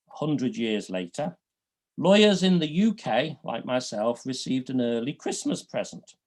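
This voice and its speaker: Liverpool-accent law prof lecturing slow, voice goes up and down a lot, dry jokes. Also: male voice, fairly high pitch, a little mellow. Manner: dry humour, deliberate, rises and falls a lot. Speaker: law professor. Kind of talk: academic lecture.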